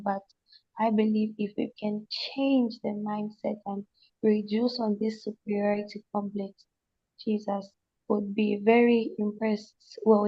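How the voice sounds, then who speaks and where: A Zambian woman with a slight mixed accent, speaking earnestly on a panel, pausing and restarting as she thinks. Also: mid-range voice, creaky, highs cut off. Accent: slight non-Zambian accent from family. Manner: earnest, thoughtful, halting with restarts. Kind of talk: panel discussion.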